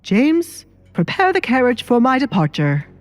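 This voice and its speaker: A woman's voice: on the high side, very animated, measured pace, voice pushed up. Audio-drama baron, middle-aged man played by a woman: curt, irritable, resentful, with music behind. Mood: curt, commanding.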